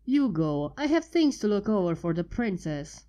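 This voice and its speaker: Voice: medium pitch, sing-song, moderate pace, warm tone, lo-fi sound, close to an everyday voice. A fanfiction reader voicing an earnest young female unicorn scholar.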